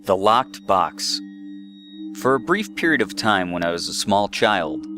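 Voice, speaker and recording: A man's voice: on the low side, very animated, unhurried, sharp tone. A man reading a scripted first-person horror story as narrator. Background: eerie background music.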